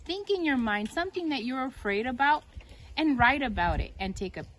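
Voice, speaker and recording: A woman reads a children's story aloud in an expressive, emphatic way. Her intonation is very animated, rising and falling often as she addresses young listeners. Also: high-pitched, fairly quick.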